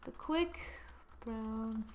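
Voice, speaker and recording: High-voiced woman chatting through a tutorial. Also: extremely slow, a little mellow, a little hiss or hum. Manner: chatty, self-mocking, thinks aloud while clicking. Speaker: software tutorial presenter. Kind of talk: screen-recorded software tutorial.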